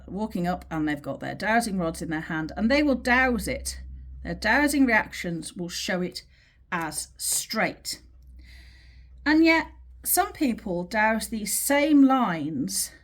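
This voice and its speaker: A woman teaching in an informal, explanatory way, with measured, emphatic phrasing and pauses as she talks through a drawing. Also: on the low side. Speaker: teacher of earth energy dowsing.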